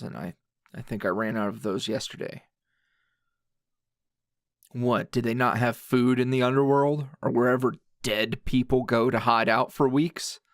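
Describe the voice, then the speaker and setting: A man playing a grouchy, wounded anime hero in a dramatic ASMR roleplay, sardonic and halting in manner. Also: mid-range voice, fairly bright tone, dry recording, clean studio sound. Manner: sardonic, halting, emotionally raw.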